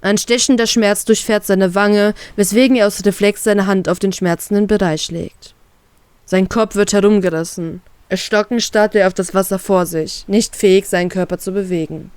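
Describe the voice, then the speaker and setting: A woman's voice: medium pitch, moderate pace, smooth, no room sound. Woman reading fan fiction aloud. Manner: steady plain read-aloud narration.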